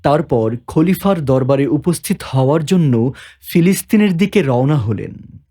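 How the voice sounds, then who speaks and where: A man's voice: fairly high pitch, expressive, unhurried, dark tone. A man narrating a scripted story as its narrator. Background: background music.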